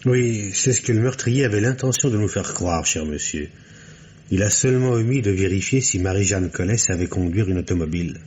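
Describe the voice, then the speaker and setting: A dull, noisy old radio recording carries the low voice of a man playing a senior French police commissioner. He is shrewd and ironic, choosing his words with patient, dry care in a mystery drama. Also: a little fast, darkish tone, natural voice.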